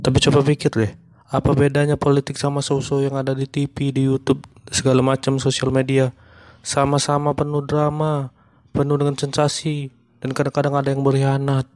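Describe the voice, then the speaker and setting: A man voicing a male monkey stand-up comedian in a cartoon who is running for mayor, telling jokes in a deadpan, even way. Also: medium pitch, a little fast, no room sound, studio quality, lightly acted.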